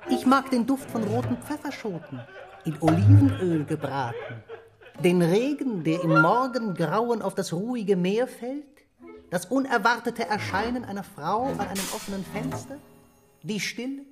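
An actress playing a proud, seductive, aggrieved Satan in a radio play, speaking in a theatrical, deliberate way. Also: female voice, medium pitch, animated, lightly acted. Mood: savoring, sensual. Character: Satan, proud, aggrieved, seductive storyteller addressing a coffeehouse crowd. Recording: music behind the voice.

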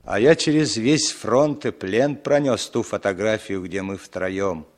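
Man playing a low-voiced impostor acting the lost brother, talks slow, heavy, sentimental, old radio play. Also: lightly acted. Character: aging male impostor posing as a long-lost brother. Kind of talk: 1960s radio drama acting.

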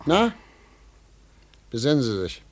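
An actor playing a stern, impatient investigator in a German radio drama, questioning in a commanding, clipped way. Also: male voice, mid-range voice, sing-song, takes it slowly. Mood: stern, pressing. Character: stern, impatient male investigator interrogating a suspect.

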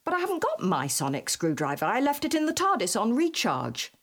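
A woman's voice: a little high, wildly swooping pitch, a little fast, full character voice. A woman voicing a cool, superior, exasperated female travelling companion in a dramatised audiobook. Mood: exasperated, dry.

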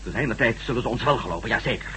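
A man's voice: on the low side, rapid-fire, pushed and bright. A man acting in a radio drama as a sardonic, impatient ex-astronaut reporter, argumentative and urgent. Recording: faintly noisy old radio drama recording.

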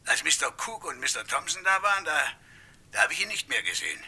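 Barkeeper witness, male, radio crime play. Raspy, swooping higher voice, hesitant and chatty. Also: sing-song, quick pace, pushed and bright, heavily acted. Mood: hesitant, eager. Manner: hesitant, rambling, eager to inform. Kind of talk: German radio crime drama acting.

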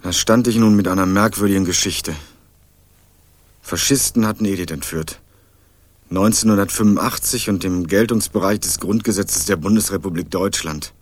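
A man's voice: deep voice, moderate pace, no room sound. A young man narrating a radio crime drama in the first person, playing a dogged student turned amateur sleuth in a dry, ironic tone. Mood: wry. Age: young adult. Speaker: narrator and protagonist.

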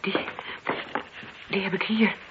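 An actress in a radio play voicing a distressed married woman who speaks haltingly as she confesses to a police inspector. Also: mid-range voice, steady tone, very noisy, close to an everyday voice. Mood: subdued, hesitant. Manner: hesitant, halting, repeating words in distress. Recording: muffled, lo-fi radio drama recording.

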